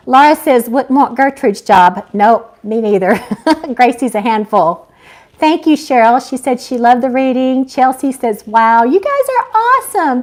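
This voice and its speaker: A woman's voice: high-pitched, darkish tone. A woman hosting a casual live chat as an author, effusive and grateful toward her viewers. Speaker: author and live-stream host.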